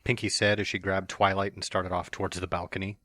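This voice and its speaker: Low-voiced male narrator, plain and even, dry close sound. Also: brisk, dry recording. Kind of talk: fan dramatic reading of a story.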